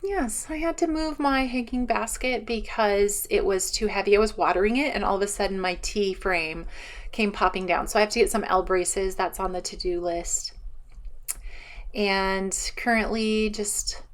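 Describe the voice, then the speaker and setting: A woman's voice: medium pitch, normal speed. A woman giving a casual, enthusiastic garden tour outdoors, rambling and thinking aloud as a vlogger does. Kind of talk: casual chatty walk-around narration.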